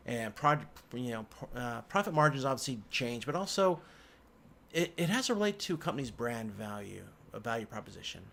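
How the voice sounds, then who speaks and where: A man's voice: mid-range voice, big pitch swings, vocal fry, fairly bright tone. Man, mid-range voice, informal tutorial delivery, hesitant restarts, thinking aloud, background noise with keyboard clicks. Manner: halting, hesitant phrasing with repeated word restarts. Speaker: instructor. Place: indoors at a computer.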